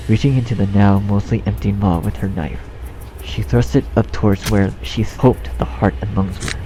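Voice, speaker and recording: A man's voice: fairly low pitch, poor audio. A man reading a horror story as narrator in a scripted style. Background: background music.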